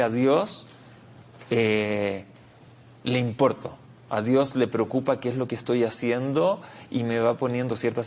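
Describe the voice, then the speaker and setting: A man with a Chilean accent, a rabbi answering interview questions reflectively, explaining and repeating words as he finds his phrasing. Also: mid-range voice.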